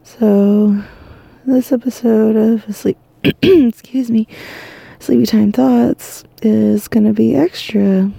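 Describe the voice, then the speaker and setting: A tired woman talks softly from her bed, drifting in and out of a whisper as her voice cracks. Her tone is very dark and gravelly with a husky edge. The sound is close and dry. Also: medium pitch, no echo. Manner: soft, drowsy, half-whispered, rambling. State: exhausted, losing her voice. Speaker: podcast host. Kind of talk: sleepy bedtime monologue. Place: lying in bed at home.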